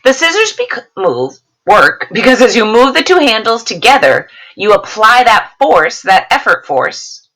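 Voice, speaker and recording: A woman's voice: a little high, sing-song, measured pace, hardly any pauses, a bit bright, rough recording. A woman teacher reading a science lesson aloud, explaining it to students and checking in with questions.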